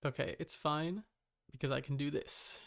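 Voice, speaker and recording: Casual livestreamer, very creaky lower-pitched voice, scattered muttering while setting things up. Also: steady tone, moderate pace, a bit bright. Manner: muttering, scattered, self-interrupting. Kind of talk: casual livestream chat, talking to self.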